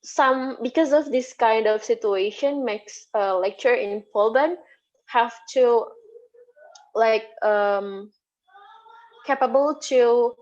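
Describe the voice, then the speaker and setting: A woman's voice: a little high, takes it slowly, darkish tone. A woman from Indonesia, a native Sundanese speaker with an Indonesian accent, gives casual interview answers as a lecturer, hesitantly and with repeated words. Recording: dull, lo-fi audio.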